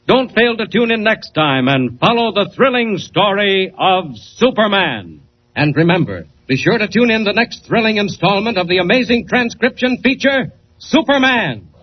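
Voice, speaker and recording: A man's voice: high voice, sing-song, moderate pace. A man as a dramatic announcer narrating a 1940s radio serial in a theatrical style. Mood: rousing. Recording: dull old radio transcription, faint noise.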